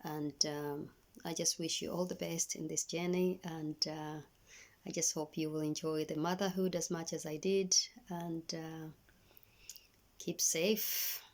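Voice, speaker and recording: A woman's voice: deep voice, flat delivery, measured pace. A middle-aged Tanzanian woman whose first language is Swahili, giving earnest, hesitant parenting advice in a personal video message.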